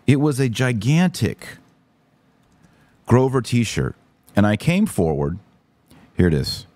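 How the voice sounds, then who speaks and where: A man's voice: mid-range voice, very animated, slow, darkish tone, no echo. A middle-aged American man hosting an opinion podcast, commenting emphatically with exasperation and personal anecdotes.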